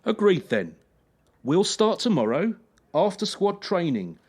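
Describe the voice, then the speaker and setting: A man's voice: high-pitched, sing-song, a little slow, darkish tone, heavily acted. A man acting in a radio play as a demanding, commanding gymnastics trainer.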